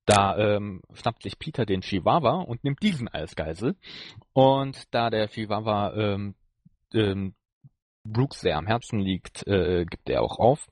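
A man with a lowish, husky voice talks casually as a podcast co-host. He recounts in a halting way, and the sound is dry and close. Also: lively intonation, no echo. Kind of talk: casual chat reviewing an audio drama.

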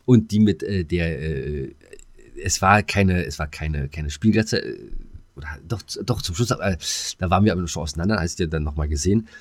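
A man's voice: low-pitched, a little fast, no echo. A middle-aged man, chatting casually and colloquially as a podcast co-host, rambling in a matey way. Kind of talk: casual chat.

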